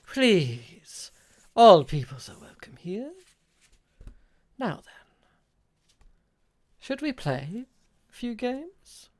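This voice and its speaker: A man playing a measured, courteous bald gentleman gambler. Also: high-pitched, very animated, pitched above the performer's own voice, exaggerated voice. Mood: genial, commanding. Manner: formal, measured politeness. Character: bald-headed male gambler, courteous, welcoming, quietly in charge.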